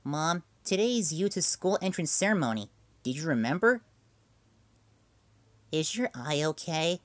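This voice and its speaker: A man's voice: a little high, animated, a little slow, no room sound. A man voicing a polite, mild young girl. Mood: plain.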